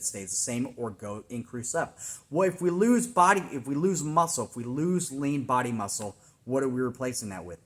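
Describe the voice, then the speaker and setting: Brief: 40s male trainer, relaxed chatty vlog delivery, mid-pitched, explains with filler words. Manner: conversational, explanatory, many fillers and restarts. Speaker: fitness trainer. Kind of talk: casual weekly check-in vlog. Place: home workout room.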